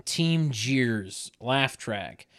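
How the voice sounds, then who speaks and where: Sardonic man narrating with lively pitch swings. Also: extremely slow. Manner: sardonic, mock-announcing. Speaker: table read narrator. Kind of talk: stage directions with sarcastic comic asides.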